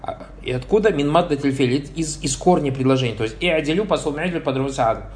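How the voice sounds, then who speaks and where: Higher-voiced man teaching a grammar lesson. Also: fast talker. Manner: asks the listeners short check questions as he goes; repeats blessing formulas between points. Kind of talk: explaining a grammar text, reading passages and translating.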